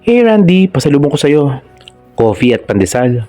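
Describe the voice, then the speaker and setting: Guy doing a shy charming twenty-something filipino male model, romance story, soft and friendly, lilting, light music. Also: a little high, very animated, normal speed, voice pushed up. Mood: warm, grateful.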